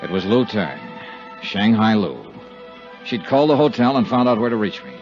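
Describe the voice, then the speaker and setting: An American actor playing a tough, self-assured insurance investigator in a 1950s radio drama, with a clipped, matter-of-fact delivery. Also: male voice, fairly low pitch, sing-song, normal speed, a little mellow. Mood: clipped narration. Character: tough, sure-minded male insurance investigator hero. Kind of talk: 1950s radio detective drama acting with narration. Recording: muffled 1950s radio recording, music.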